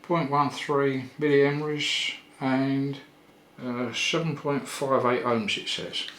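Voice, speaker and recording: An older man giving a casual hands-on repair tutorial, thinking aloud in an unhurried way with pauses. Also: medium pitch, fairly even intonation, a bit bright. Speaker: electronics repair presenter. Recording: roomy echo with faint background noise.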